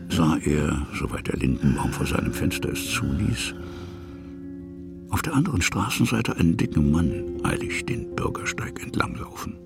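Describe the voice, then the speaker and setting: A man narrating a literary story expressively in short, deliberate phrases, with a very deep, husky, gravelly voice. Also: very animated, music in the background.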